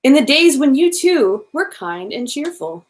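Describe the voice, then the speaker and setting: A woman plays a small, wise spirit from a children's storybook. The spirit speaks solemnly in a high, measured voice. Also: sing-song. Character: little male spirit guide, solemn and wise.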